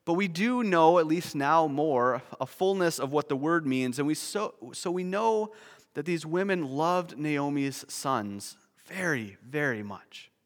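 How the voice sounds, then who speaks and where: A man's voice: fairly high pitch, animated, unhurried, long reverb tail. A man preaching a sermon, explaining a word's meaning with nerdy, self-deprecating asides. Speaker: pastor.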